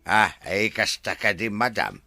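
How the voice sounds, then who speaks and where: A man with an Ilocano accent playing a deferential, excitable resort workman in a radio drama, stammering and full of exclamations. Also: lowish voice, a little slow, creaky, soft background music, heavily acted.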